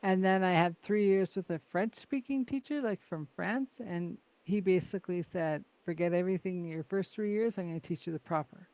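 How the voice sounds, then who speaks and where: A woman's voice: on the low side, moderate pace, few pauses, darkish tone, dry recording, rough recording. A woman hosting a podcast from Canada, chatty and laughing often, full of "like" and "right?". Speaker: podcast host. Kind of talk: casual conversation.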